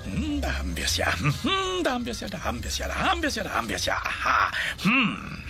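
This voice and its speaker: An actor playing a gushing, tactlessly cheerful dinosaur party organizer with an effusive, sing-song, chattering delivery in a dubbed sitcom. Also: male voice, high voice, huge pitch swings, fairly quick, creaky, heavily acted. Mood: busy, chattering. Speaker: event host. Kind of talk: German dubbed sitcom dialogue. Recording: music laid under the voice.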